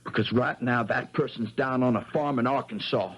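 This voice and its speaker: Man acting folksy sharp-witted young guy, bit raspy, 70s radio mystery, muffled sound. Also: medium pitch, moderate pace, lightly acted. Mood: cool, probing. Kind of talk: 1970s American radio drama acting, first-person storytelling and dialogue. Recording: dull 1970s radio broadcast recording.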